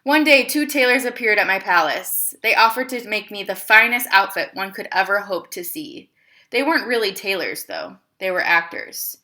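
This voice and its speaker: Woman reading as bright-voiced, chatty storybook emperor Twill. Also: mid-range voice, normal speed. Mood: scheming, dramatic. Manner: expressive, confiding storytelling with rhetorical questions. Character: embarrassed but clever male storybook emperor, chatty and self-justifying. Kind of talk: children's storybook read-aloud.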